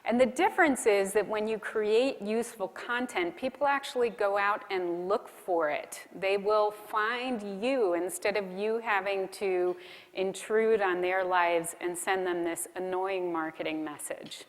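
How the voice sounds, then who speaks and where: A woman's voice: medium pitch, steady tone, a little slow. A woman giving a conversational conference keynote, asking the audience questions as she goes. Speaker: keynote speaker. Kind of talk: conference keynote talk on content marketing. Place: large conference hall. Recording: long hall reverb.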